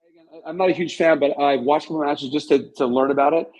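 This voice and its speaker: Middle-aged American male interview guest, higher-pitched, conversational and opinionated. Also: steady tone, fast talker, darkish tone, rough recording. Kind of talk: casual interview chat.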